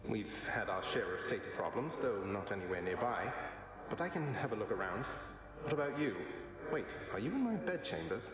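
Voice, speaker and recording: An actor playing a wry, cocky young underworld prince in a video game, casual and sardonic. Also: male voice, fairly low pitch, lively intonation, fairly quick, noisy background, lightly acted. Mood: dry skepticism. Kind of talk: video game dialogue acting. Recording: heavily echoing dreamlike reverb.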